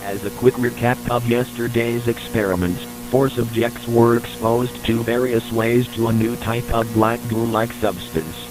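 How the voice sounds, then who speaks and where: A man's voice: medium pitch, steady tone, a little slow, few pauses, over music. A man reading a scripted, formal, matter-of-fact report as the narrator of an analog horror tape. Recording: noisy recording with electrical hum.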